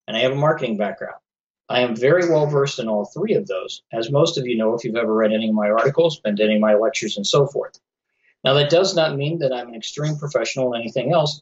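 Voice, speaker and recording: A man hosting a paranormal radio show, talking earnestly and lecturing his listeners while losing his voice. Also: mid-range voice. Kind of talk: solo talk radio monologue. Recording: slightly dull lo-fi audio.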